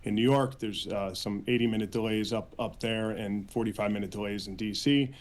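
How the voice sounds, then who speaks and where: A man with an American accent answering as a union spokesman in a news interview, earnest, with halting phrasing and repeated words. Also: fairly low pitch, fairly even intonation. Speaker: union official, interview guest.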